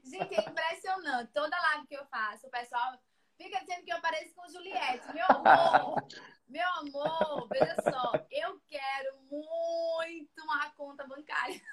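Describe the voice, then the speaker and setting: Chatty high-voiced teacher woman. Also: a little slow, crowd noise, poor audio. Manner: chatty, reassuring, addresses viewers by name. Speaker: essay writing teacher. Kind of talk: live-streamed class, casual chat.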